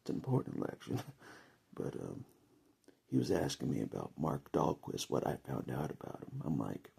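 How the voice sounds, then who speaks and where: An American man with a gravelly, husky voice, chatting casually and jokily in a rambling vlog monologue, often chuckling. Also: very deep voice, huge pitch swings, normal speed, warm tone. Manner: chuckles often, jokey, conversational. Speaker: vlogger giving political opinion.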